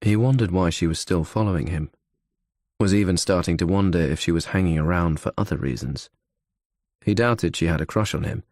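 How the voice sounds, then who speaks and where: A man's voice: low-pitched, a little mellow, no echo, clean studio sound. A man narrating a suspense audiobook in the third person.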